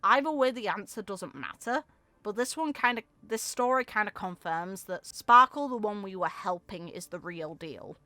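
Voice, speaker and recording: A woman's voice: medium pitch, expressive, normal speed, pushed and bright, no echo. A woman giving casual, reflective commentary on a video game in an informal, opinionated way.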